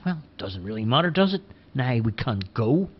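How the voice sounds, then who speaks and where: A man's voice: mid-range voice, big pitch swings, normal speed, slightly rough. A man voicing a blunt, sensible grown man who lectures in a matter-of-fact way. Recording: dull lo-fi recording.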